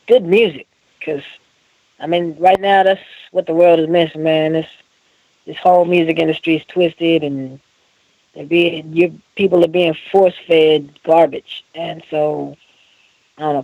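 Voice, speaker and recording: A man's voice: fairly high pitch, darkish tone, no room sound, poor audio. A man chatting casually as a radio interview guest, laid-back and slangy. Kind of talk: casual chat.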